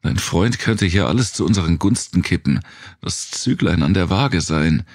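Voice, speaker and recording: A man's voice: sits low, lively intonation, moderate pace, a little mellow, dry recording, clean studio sound. A man voicing a tough, wary woman survivor with a blunt, explanatory manner in an audiobook. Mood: irritated, firm.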